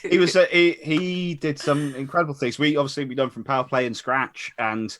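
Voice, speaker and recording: A man's voice: a little high, steady tone, brisk, fairly bright tone, no echo. A British man hosting a casual chat, reminiscing in a rambling, stop-start way that repeats phrases. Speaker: host of an online tribute discussion.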